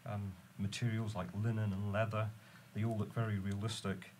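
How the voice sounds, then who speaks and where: A man on a panel, speaking in a measured way with many pauses while losing his voice to a head cold. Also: on the low side, little pitch movement, darkish tone, a little hiss or hum. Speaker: guest from the company. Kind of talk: panel discussion. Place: dim studio lounge with screen.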